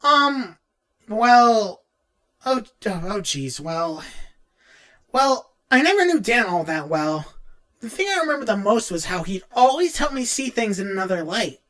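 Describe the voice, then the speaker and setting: A woman voicing an excitable, awkward, geeky young male cartoon character who rambles and hesitates as he answers fan questions in character. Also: mid-range voice, a little slow. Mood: hesitant, wistful. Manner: animated, rambling, hesitant, self-interrupting.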